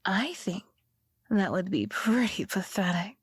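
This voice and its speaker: A woman performs a possessive yandere girlfriend for an ASMR roleplay. Her voice is breathy and often slips into whispers, very slow and intimate in a dry, close sound. She is coaxing and sweet, with soft menace underneath. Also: mid-range voice, lively intonation, no room sound. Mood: bitter, possessive. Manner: slow, coaxing, softly threatening.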